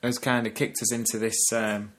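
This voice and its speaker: A man's voice: fairly low pitch, level tone, normal speed, fairly bright tone. A man from Burnley with a Lancashire accent, co-hosting a casual podcast chat and laughing often. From Burnley, Lancashire, England. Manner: chatty, laughs often, drops "the". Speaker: podcast co-host. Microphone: video call.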